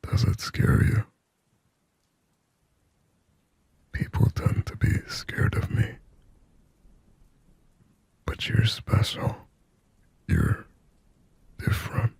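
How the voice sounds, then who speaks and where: A man voicing a shy, possessive sleep paralysis demon in an ASMR roleplay, in a halting, intimate, breathy whisper. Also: no room sound, natural voice. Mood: longing, possessive. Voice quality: breathy, husky whisper with low gravelly creak. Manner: slow, halting, hushed, intimate.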